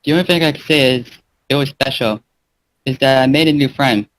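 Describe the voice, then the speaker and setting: A devoted young servant voiced by a man in a fan fiction reading. His voice sits at a middle pitch. He addresses his mistress with courteous deference. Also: fairly quick, fairly bright tone, a little hiss or hum, close to an everyday voice, rough recording. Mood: polite.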